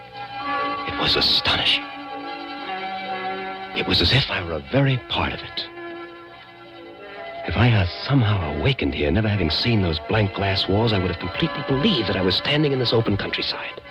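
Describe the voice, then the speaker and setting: Deep-voiced, dramatic thriller narrator. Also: male voice, expressive, over music. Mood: awed, tense. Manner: intense, deliberate, dramatic. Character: earnest, bewildered male narrator of an eerie thriller. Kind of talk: 1940s radio acting, dramatic first-person narration.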